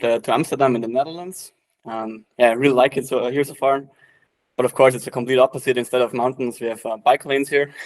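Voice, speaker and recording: A man in his late twenties from Austria, answering interview questions in a relaxed, chatty way full of "uh" and "yeah". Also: mid-range voice. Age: late 20s. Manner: relaxed, many fillers like "uh" and "yeah". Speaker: private investor guest.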